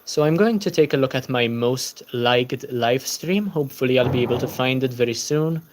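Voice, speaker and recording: A man's voice: medium pitch, normal speed, a little hiss or hum. A tired young man hosting a casual book-channel livestream, chatting and answering viewer comments.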